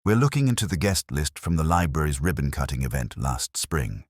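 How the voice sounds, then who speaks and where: Very deep, calm police inspector acted by a man. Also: a little fast, slightly rough, no echo, clean studio sound, full character voice. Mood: calm, musing. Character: calm, courteous, thoughtful male police inspector.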